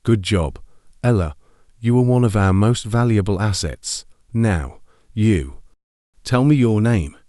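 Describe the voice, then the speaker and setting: A man's voice: animated, takes it slowly, warm tone, no echo, studio quality. A man playing a stern survivor leader in an amateur audio drama, curt, serious and commanding. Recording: very noisy with background rumble.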